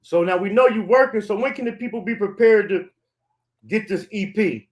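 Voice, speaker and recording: Male host, high-pitched, laid-back and casual with his questions. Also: moderate pace. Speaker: interview host. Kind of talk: casual interview.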